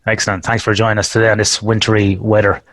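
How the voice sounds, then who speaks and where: Podcast host guy, fairly low voice, chatty long questions, super dry close mic. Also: level tone, fast, fairly bright tone, no echo. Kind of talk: casual interview hosting. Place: Western Australia.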